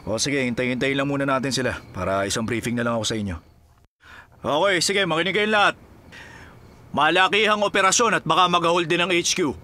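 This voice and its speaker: A man's voice: a little high, a little fast, sharp tone. A man with a Filipino accent playing a dedicated, teasing and affectionate policeman husband in an audio drama. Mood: commanding. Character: playful, dedicated policeman husband, loving and determined.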